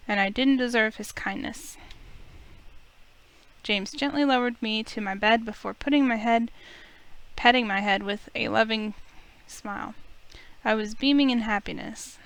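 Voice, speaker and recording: A woman reading a visual novel aloud as an expressive, dramatic narrator. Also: a little high, smooth, low-quality recording. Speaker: let's play streamer. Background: music in the background.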